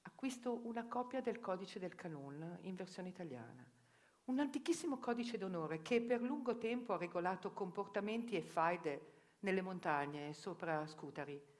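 A woman narrating a theatre reportage in a measured, reflective storytelling manner. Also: fairly low pitch, animated.

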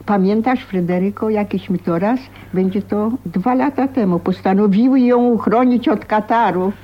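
An actress playing a fussy, fretful older aunt who nags and gossips in a radio drama. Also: female voice, mid-range voice, moderate pace, natural voice. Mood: fretful, gossipy. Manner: fussing, nagging, gossipy. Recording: dull, slightly noisy radio play recording.

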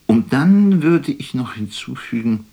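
An actor playing a wistful, weary poet, musing lyrically in a measured radio drama monologue. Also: male voice, mid-range voice, lively intonation, creaky, warm tone, slightly rough, exaggerated voice. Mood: animated imagining. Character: wistful, weary male poet imagining a phone call.

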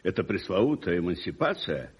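An actor playing an opinionated, wealthy older lord in a radio play, speaking in a pompous, emphatic, declamatory way. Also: male voice, sits low, very animated, brisk, husky, full character voice. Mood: emphatic, scoffing. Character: opinionated wealthy older English lord, teasing and protective godfather. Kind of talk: 1980s Soviet radio play acting. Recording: muffled 1980s radio drama recording.

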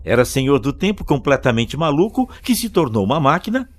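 An actor playing a serious, cautious and dryly fatalistic male guide in an audio drama, speaking gravely. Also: mid-range voice, big pitch swings, brisk, lightly acted. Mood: accusing. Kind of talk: scripted audio drama acting. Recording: long reverb under music.

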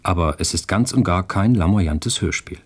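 A man's voice: deep voice, moderate pace, husky. A man reading a scripted introduction to a radio play as its announcer.

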